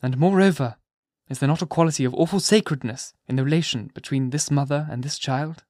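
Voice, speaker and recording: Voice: mid-range voice, fairly quick, a little mellow, no room sound, studio quality, lightly acted. A reader voicing a tremulous young minister. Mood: earnest. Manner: sweet, tremulous yet powerful. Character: nervous, careworn young minister.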